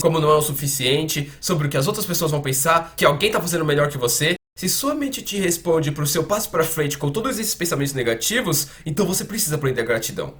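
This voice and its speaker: A man's voice: high voice, fairly bright tone. A man with a Brazilian accent dubbing an earnest psychiatrist coach, explaining conversationally and emphatically with repeated words and rhetorical questions. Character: earnest male psychiatrist coaching gamers on habits. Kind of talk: conversational self-help explainer, dubbed.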